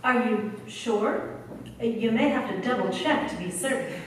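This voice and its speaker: A woman's voice: a little high, a little slow, distant mic, a little hiss or hum, close to an everyday voice. A woman playing a gutsy, hot-tempered wartime heroine in a staged radio play, recorded from afar in an echoey hall. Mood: touched. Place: large hall, live stage performance.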